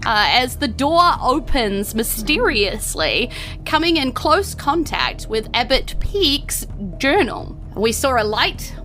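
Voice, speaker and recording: An excitable, playful woman with a New Zealand accent, hosting and narrating a tabletop game podcast in a theatrical, chatty way. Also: high-pitched. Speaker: podcast host and game master.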